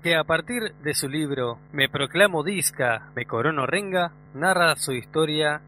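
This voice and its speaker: Very bright, forceful male radio announcer. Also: a little high, a little slow. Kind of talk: scripted narration.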